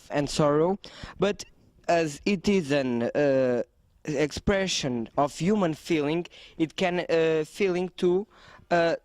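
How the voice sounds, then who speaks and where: Hesitant Portuguese student, dry close sound. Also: male voice, fairly high pitch, darkish tone, no echo. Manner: hesitant, many uh fillers, halting English. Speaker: student interviewee. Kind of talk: answering interview questions.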